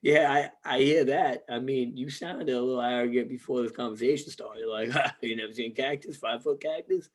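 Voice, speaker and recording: Hoarse man, gravelly crackly voice, loose joking podcast co-host, casual swearing. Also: medium pitch, fairly quick, hardly any pauses. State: hoarse, losing his voice. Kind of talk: casual chat.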